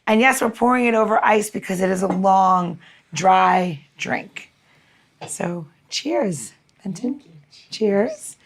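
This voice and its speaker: Woman, podcast co-host, creaky voice, casual how-to chat about mixing, mid pitch. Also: lively intonation, slow. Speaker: podcast co-host and cocktail mixer.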